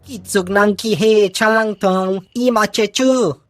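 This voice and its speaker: A woman voicing an alien in a video game, speaking an invented tongue in a deliberate, drawn-out way. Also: mid-range voice, no room sound, soft background music, close to an everyday voice. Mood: haughty. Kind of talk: video game cutscene acting.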